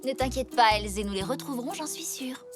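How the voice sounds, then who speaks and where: A woman voicing a reassuring, gently playful zebra mother in a French children's cartoon, expressive and soothing. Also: sits high, fairly quick, music in the background.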